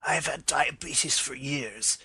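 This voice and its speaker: A man's voice: mid-range voice, unhurried, vocal fry, sharp tone, husky, heavily acted. A man voicing a dying older man who pleads and confesses with emotion. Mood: grim. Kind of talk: dramatized reading of a detective story.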